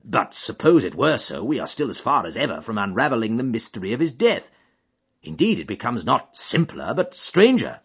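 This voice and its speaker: A man's voice: medium pitch, big pitch swings, moderate pace, slightly rough, voice pushed up, heavily acted. A man reading an audiobook voices an earnest, puzzled companion who asks questions. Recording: dull lo-fi audiobook recording.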